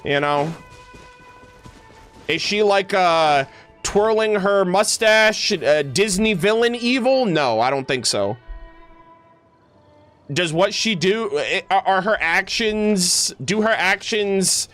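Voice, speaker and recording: A man livestreaming, chatting casually with his viewers in a halting, thinking-aloud way. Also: sits high, animated, a bit bright. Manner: casual swearing. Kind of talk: casual chat with stream viewers. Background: music.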